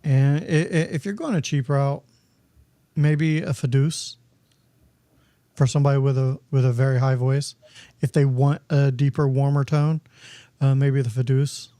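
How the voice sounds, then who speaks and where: Male podcast co-host, relaxed and warm-toned, talking gear casually. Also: mid-range voice, a little slow, long pauses, no echo. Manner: laid-back, advises on budget gear. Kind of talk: casual chat about microphones.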